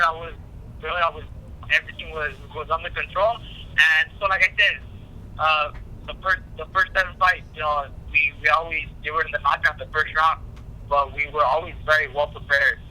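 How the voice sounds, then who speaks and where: Bright, high-voiced man on a phone line. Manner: halting, repetitive, frequent "you know". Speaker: professional boxer being interviewed. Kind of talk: casual phone interview. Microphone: telephone call.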